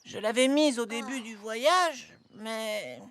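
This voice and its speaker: A woman voicing an eager, impatient little boy in a dubbed children's cartoon, in a whiny, excitable manner. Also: on the high side, takes it slowly. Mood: sheepish. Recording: music behind the voice.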